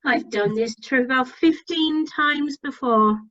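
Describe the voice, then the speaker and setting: A woman's voice: high voice, measured pace, fairly bright tone, dull audio. A woman playing a cheerful, chatty widow with an Irish accent in an amateur radio comedy, over online meeting audio.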